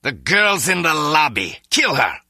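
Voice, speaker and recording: A man's voice: on the high side, sing-song, a little slow, vocal fry, sharp tone, no room sound, studio quality, full character voice. A man playing a ruthless, menacing villain crime boss in a video game, giving commands. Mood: furious.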